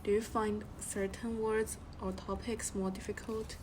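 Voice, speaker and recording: A woman interviewing a student, asking prepared questions politely with some halting restarts. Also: mid-range voice, level tone, darkish tone, a little hiss or hum, rough recording.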